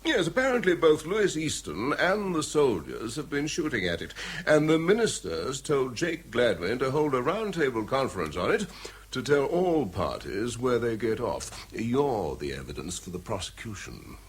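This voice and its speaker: Flippant English civil servant, swooping intonation, husky, chummy, cynical, radio drama. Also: male voice, mid-range voice, sing-song. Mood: brisk, gossipy. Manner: jaunty, flippant, brisk. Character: breezy, cynical, chummy British ministry official. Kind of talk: British radio drama acting.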